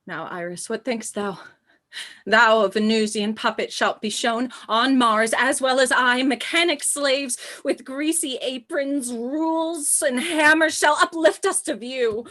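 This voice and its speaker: A woman's voice: high-pitched, unhurried, pushed and bright. A woman playing a proud, doomed queen in a radio play, speaking Shakespearean verse in a stately, declamatory way. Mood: proud, defiant. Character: proud, regal doomed queen, tender and grandiose.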